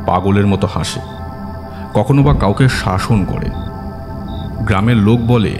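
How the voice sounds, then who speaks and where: A man reading a scripted horror story as a dramatic narrator. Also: fairly low pitch. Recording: long reverb, music bed behind.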